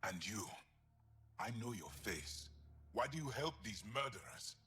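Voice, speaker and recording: An actor playing a distrustful, anguished alien soldier in a video game, speaking in a halting, dramatic way. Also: male voice, lowish voice, big pitch swings, bright, forceful tone, a little hiss or hum, exaggerated voice. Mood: bitter, accusing. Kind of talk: video game cutscene acting.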